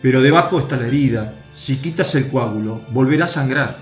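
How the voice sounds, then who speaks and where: An actor playing an earnest Roman soldier in a radio drama. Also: male voice, mid-range voice, fairly quick, reverberant, faint music behind, close to an everyday voice.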